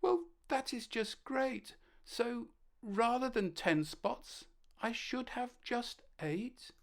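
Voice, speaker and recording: Woman doing a squeaky, anxious little ladybird girl, storybook, slow and tearful. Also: expressive. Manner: sobbing, earnest, self-conscious. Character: timid, anxious young female ladybird. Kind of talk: storybook character voice.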